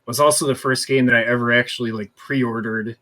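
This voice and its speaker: A man's voice: medium pitch, steady tone, fairly quick, pushed and bright. A man in his thirties talking casually as a podcast co-host. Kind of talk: casual chat.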